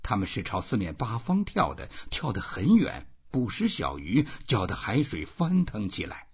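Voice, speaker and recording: A man narrating a literary audiobook in an expressive reading style. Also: fairly low pitch, moderate pace, breathy edge. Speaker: audiobook reader. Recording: dull, muffled lo-fi audio.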